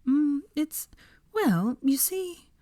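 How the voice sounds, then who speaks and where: A woman's voice: high voice, very animated, extremely slow, warm tone, no echo, studio quality, voice pushed up, heavily acted. A woman voicing a flustered, evasive young witch in an audiobook, breathless and defensive in manner. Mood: breathless, nervous.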